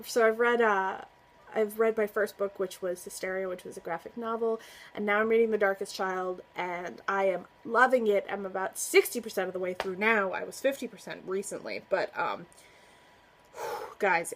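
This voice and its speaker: A woman's voice: mid-range voice, normal speed, poor audio. A woman talking casually to camera as a book vlogger, chatty and earnest, often trailing off and restarting. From United States. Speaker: booktuber. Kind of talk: casual book tag vlog.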